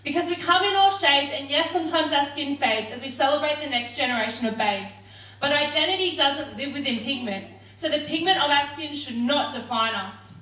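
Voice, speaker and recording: A woman's voice: sits high, a little fast, a little hiss or hum. Defiant woman performing spoken word. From Australia. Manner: rhythmic, defiant, forceful delivery. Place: theatre stage. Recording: roomy hall, mic at a distance.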